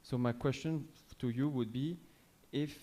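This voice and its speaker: A man's voice: mid-range voice, a little slow, warm tone. A man with a French accent asking a lecturer a long question from the audience, hesitating and rephrasing as he goes. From France. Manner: hesitant, circling back to rephrase. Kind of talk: question from the floor.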